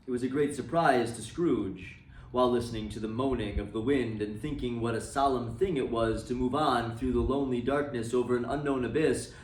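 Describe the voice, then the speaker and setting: A man's voice: brisk, reverberant, distant mic, slight background noise, rough recording. Man reading a classic tale aloud dramatically. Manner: dramatic, sinking low and soft at ends of phrases. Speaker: storyteller reading for a library. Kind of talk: expressive read-aloud of a classic novel.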